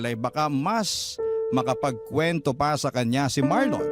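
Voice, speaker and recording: A man reads a sentimental first-person story for a radio drama. His voice sits a little high for a man, and loud music plays behind it. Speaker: radio drama narrator reading a letter. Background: loud background music.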